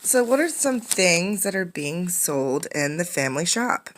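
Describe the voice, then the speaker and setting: Low-voiced woman reading to children. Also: very animated, measured pace, a little hiss or hum. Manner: instructive, poses questions to young listeners. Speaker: teacher reading a picture book aloud. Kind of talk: interactive storybook read-aloud for children.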